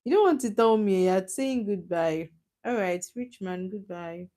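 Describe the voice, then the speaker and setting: A woman's voice: mid-range voice, expressive, measured pace, mellow. Young Nigerian woman, live stream host; casual, chatty, laughs easily. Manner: chatty, teasing, laughs often, answers chat comments. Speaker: livestream host.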